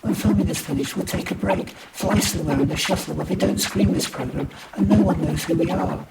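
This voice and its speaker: A man's voice: sits high, brisk, vocal fry, voice pushed up. A man playing an anxious, long-winded alien actor in love, earnest and pleading, in a science fiction story. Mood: soothing. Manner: earnest, breathless, soothing. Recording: noisy amateur recording, distant microphone.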